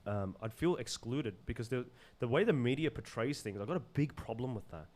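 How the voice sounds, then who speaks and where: A man from Zimbabwe with an Australian accent, talking earnestly and haltingly in casual podcast conversation, often repeating words as he searches for phrasing. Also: mid-range voice, animated, darkish tone. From Zimbabwe, moved to Australia as a child. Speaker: podcast guest.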